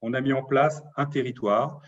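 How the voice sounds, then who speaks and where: A man's voice: medium pitch, steady tone, normal speed, highs cut off. A man, a doctor and local official, explaining in interview answers and often punctuating points with a tag word.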